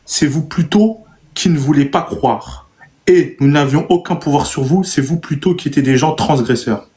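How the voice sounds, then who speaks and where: A man moderating a live online debate, calmly managing turns and reading passages aloud. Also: fairly high pitch, normal speed. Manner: calm, brief interjections, plain reading.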